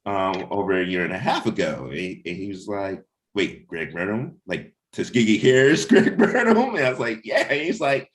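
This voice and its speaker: A man's voice: lowish voice, big pitch swings, moderate pace, few pauses. A man talking casually and informally as he leads a team meeting of comic book creators, often checking in with his listeners. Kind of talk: casual chat, planning and anecdotes.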